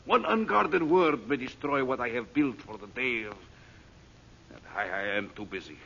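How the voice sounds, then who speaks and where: An actor playing an imperious, ruthless villain scientist bent on ruling the world, commanding and menacing in radio melodrama style. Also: male voice, medium pitch, expressive, normal speed, gravelly, fairly bright tone. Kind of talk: radio serial melodrama acting. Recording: muffled, hissy old radio recording.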